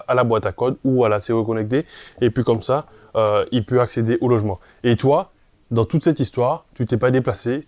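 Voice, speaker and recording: A man with a medium-pitched voice gives an informal, step-by-step explanation straight to the viewer. He is didactic and often checks that the listener is following. The recording is dry, with hardly any room sound. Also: darkish tone, no room sound. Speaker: presenter of a property investment tutorial.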